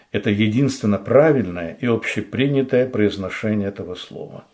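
A man teaching pronunciation in a scripted lesson, speaking slowly and deliberately and stressing vowels for demonstration. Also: medium pitch, warm tone. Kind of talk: scripted instructional narration.

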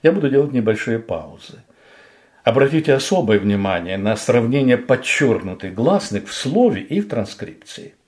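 A man teaching pronunciation in a scripted lesson, speaking slowly and deliberately and stressing vowels for demonstration. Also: mid-range voice, sing-song, a little mellow. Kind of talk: scripted instructional narration.

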